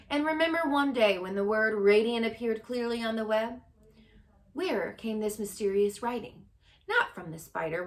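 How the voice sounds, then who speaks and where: A woman's voice: medium pitch, pitched above the performer's own voice, close to an everyday voice. A woman voicing a male county-fair announcer who gives a grand, pompous speech about a prize pig, raising her voice above her usual reading tone.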